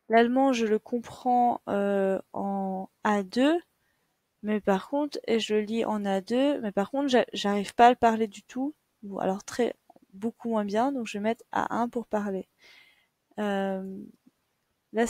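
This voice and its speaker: A woman's voice: mid-range voice, darkish tone, dry recording. Young woman walking you thru a form step by step, lots of ums, dry close sound. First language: French. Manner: patient, step-by-step, many fillers. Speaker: teacher. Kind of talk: screen-share tutorial lesson.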